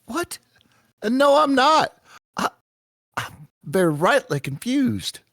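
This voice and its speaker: A man's voice: extremely high pitch, very animated, a bit bright, dry recording, clean studio sound, exaggerated voice. A man playing a confused, indignant tourist who stammers hesitantly, in a script read-through. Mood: bewildered, indignant. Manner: halting, stammering.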